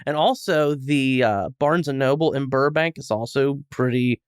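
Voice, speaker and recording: A man on a review podcast, chatting casually and joking in a halting, rambling way. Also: medium pitch, smooth, dry recording, studio quality. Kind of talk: casual comedic chat.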